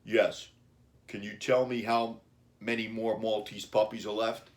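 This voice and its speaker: A man voicing a calm, reassuring father in a children's storybook, measured and firm. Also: on the low side, close to an everyday voice.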